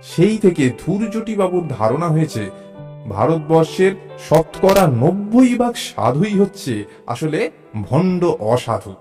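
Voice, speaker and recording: An actor narrating a suspense audio story as a curious, mild, observant traveler, in an expressive storytelling manner. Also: male voice, a little high, slow pace. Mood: amused, wry. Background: background music.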